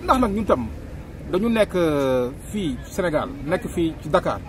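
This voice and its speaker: A middle-aged man with a Senegalese accent giving his opinions in a street interview, animated and talking with his hands, recorded close on a handheld mic outdoors by a busy road. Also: fairly high pitch, unhurried, crowd noise. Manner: animated, gestures with hands, mixes two languages. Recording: street traffic noise behind.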